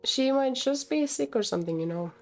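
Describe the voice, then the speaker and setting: A woman's voice: sits high, expressive, fairly quick, soft background music, pitched above the performer's own voice. A woman voicing a caring, reassuring cafe coworker in a visual novel, with a concerned, coaxing manner. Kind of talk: visual novel dialogue read aloud.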